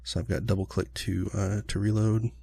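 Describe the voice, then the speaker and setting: A man chatting through a gameplay session, rambling and relaxed with plenty of fillers. His voice is low, gravelly and husky, with a mellow, dark tone, and he is still sniffly from being sick. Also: normal speed, dry recording. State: recovering from illness, sniffly, clearing his throat. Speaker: gaming channel host. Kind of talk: casual let's-play commentary.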